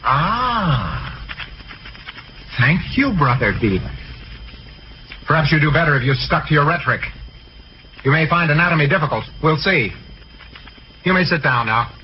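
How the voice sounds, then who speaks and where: An actor playing a gruff, pompous older medical professor in an old-time radio drama, formal and biting in manner. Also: male voice, fairly high pitch, very animated, unhurried, long pauses. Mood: sarcastic. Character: gruff, sarcastic, demanding older medical professor with hidden kindness. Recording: muffled, noisy vintage radio recording.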